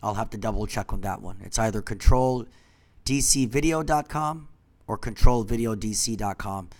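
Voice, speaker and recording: A man's voice: on the low side, normal speed. Rambling congested man. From Washington, D.C. area. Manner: rambling, trailing off into quiet asides. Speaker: company founder. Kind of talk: rambling monologue.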